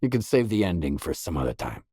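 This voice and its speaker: An actor playing a weary, laconic stalker in a video game, a hardened loner with a terse, guarded, deadpan delivery. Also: male voice, low voice, fast, husky, dry recording, studio quality, full character voice. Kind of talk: isolated game dialogue lines.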